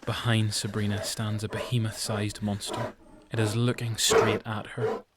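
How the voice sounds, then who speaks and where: A man with a Northern Irish accent reading scripted, suspenseful dramatic story narration in deliberate phrases. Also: on the low side, little pitch movement, heavy background noise. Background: music.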